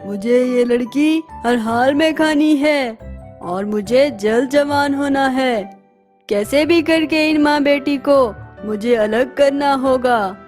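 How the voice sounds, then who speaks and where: A woman voicing a greedy, scheming witch in a children's horror storybook, with a drawn-out, deliberate delivery. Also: sits high, a little mellow, soft background music. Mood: greedy, gloating. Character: greedy scheming female witch hungry for youth.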